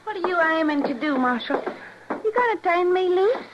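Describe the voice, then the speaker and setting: A very high, feisty young American frontier woman, blunt and folksy. Her speech is lively, in the style of a radio western, heard through clearly noisy old broadcast sound. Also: normal speed, dull audio. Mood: defiant.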